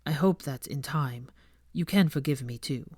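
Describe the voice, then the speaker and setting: A woman voicing a guarded but sincere young man with a hushed, halting delivery in a story reading. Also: extremely low pitch, no room sound, studio quality, voice pushed down. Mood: hushed, remorseful.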